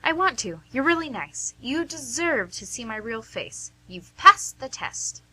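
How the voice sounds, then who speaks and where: Woman playing coy, teasing date with animated, high voice. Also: measured pace, breathy edge, heavily acted, low-quality recording. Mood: shy, self-deprecating. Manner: playful, coy. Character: shy, teasing, self-deprecating masked woman on a date. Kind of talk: amateur radio play acting.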